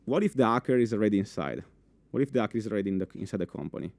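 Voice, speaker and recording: Young guy w italian accent doing a conference talk, warm mellow tone, dry close mic, keeps asking the crowd stuff. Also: lowish voice, rapid-fire, gravelly, dry recording. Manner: animated, many fillers and restarts, asks the audience questions. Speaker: conference speaker. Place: conference room with a full audience.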